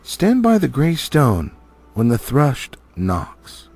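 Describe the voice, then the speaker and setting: A man's voice: mid-range voice, wildly swooping pitch, slow, a little mellow, breathy edge, dry recording, soft background music, pitched above the performer's own voice, full character voice. A man voicing a stately, learned elf lord from a storybook, solemn and declamatory in his delivery. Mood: instructive. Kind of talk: storybook character reading.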